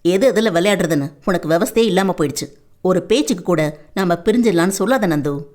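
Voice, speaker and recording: An anxious young man pleads with his beloved, his voice dropped lower and earnest, as a woman narrator puts him on. He presses her gently for answers, caught between worry and devotion, in a storybook reading. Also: animated, a little slow. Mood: teasing, tender.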